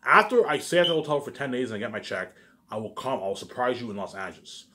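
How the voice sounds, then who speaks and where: A man's voice: mid-range voice, animated, quick pace, bright, forceful tone. A man giving a rambling, informal monologue, repeating himself emphatically, swearing and restarting his phrases. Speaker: vlogger.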